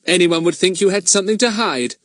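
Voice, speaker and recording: A man voicing a confident, clever boy who leads his friends, speaking briskly and with assurance. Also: fairly high pitch, huge pitch swings, no room sound, studio quality, voice pushed up. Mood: defiant.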